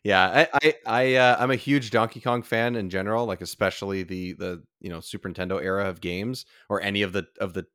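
A man's voice: on the low side, fairly even intonation, brisk, hardly any pauses, a bit bright, no echo, clean studio sound. A man chatting casually on a podcast in a rambling, emphatic way, often repeating himself.

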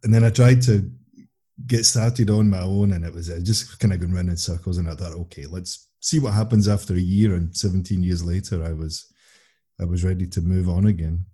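A man's voice: low-pitched, fairly even intonation, fairly quick, warm tone, slightly rough. A man in his forties, a guest in a casual interview, talking in a laid-back and reflective way.

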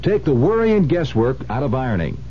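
A man's voice: mid-range voice, very animated, a little fast. An American man working as a spokesman, reading a scripted radio advertisement. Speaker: commercial spokesman. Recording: dull, noisy old radio recording with hum.

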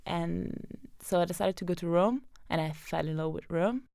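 A woman's voice: fairly low pitch, moderate pace, creaky, a little mellow, dry recording, clean studio sound. A young woman from southern Italy with an Italian accent, chatting as a podcast guest and telling her story with frequent fillers and laughs. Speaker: guest, data scientist. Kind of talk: casual interview storytelling.

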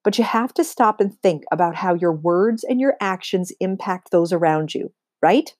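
A woman voicing an exasperated, wry female schoolteacher from a storybook, firm and measured as she lectures. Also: lowish voice, a little mellow, no room sound, clean studio sound, lightly acted. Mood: earnest lecturing.

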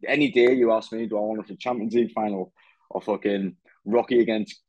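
A young man speaks in a low, mellow voice, relaxed and easygoing, dropping casual swears. His audio comes through dry and a bit muffled, as if over a video call. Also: fast talker, no echo. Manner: laid-back, lots of "yeah, man", swears casually. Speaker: podcast host. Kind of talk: casual fight-recap chat.

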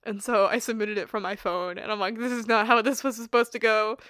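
A woman's voice: a little high, flat delivery, brisk, fairly bright tone, clear, resonant tone, no room sound, clean studio sound. A young woman co-hosting a podcast, chatting casually, saying "like" often and laughing easily. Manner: chatty, laughs a lot, lots of "like". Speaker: podcast co-host. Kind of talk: casual chat.

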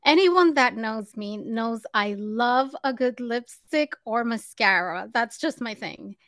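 A woman's voice: a little high, animated, slow pace, no echo, clean studio sound. A woman hosting an interview show who opens with a scripted introduction, then chats with her guest in an upbeat, friendly way. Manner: warm, upbeat greeting of "loves". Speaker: podcast host.

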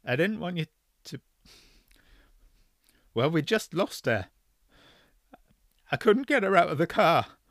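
A grieving father sobs and falters through a phone call, as a man reads him, his voice climbing high as it breaks. The delivery is dry and close, in the style of a storybook reading. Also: sing-song, slow, long pauses, a bit bright, no room sound, heavily acted. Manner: faltering, broken. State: crying. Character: grieving older father, guilt-ridden, sobbing on the phone.

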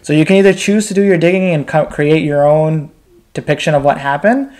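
A man's voice: fairly high pitch, fairly quick, a little mellow. A man in his twenties with an American accent, telling his personal story candidly and informally as an interview guest, often checking in with "right?". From United States, Pakistan, Bangladesh and Qatar. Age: 20s. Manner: informal, uses frequent "like" and "right?" tags.

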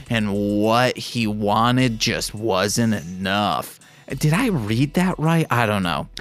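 A man's voice: medium pitch, a bit bright. A man hosting a podcast, reading fan fiction aloud in an irreverent way and breaking off into casual, joking asides to listeners. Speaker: podcast host.

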